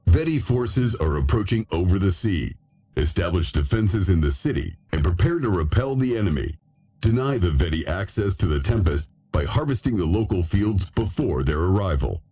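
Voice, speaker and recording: A male military intelligence briefer delivers formal game mission narration in a fairly low voice. His manner is impersonal, authoritative and clipped, with music clearly playing behind him. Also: expressive, normal speed, dark tone. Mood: commanding.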